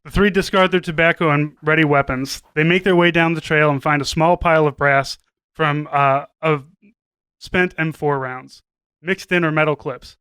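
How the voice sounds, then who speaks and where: Bright, high-pitched male narrator. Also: steady tone, moderate pace, clean tone, no echo. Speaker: podcast host and narrator. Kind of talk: scripted comedic narration.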